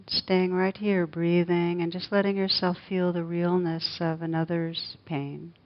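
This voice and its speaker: A woman leading a guided meditation, speaking slowly and softly with long pauses. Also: lowish voice, level tone. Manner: slow, soft, gentle guidance with long pauses. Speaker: meditation teacher. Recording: dull lo-fi recording.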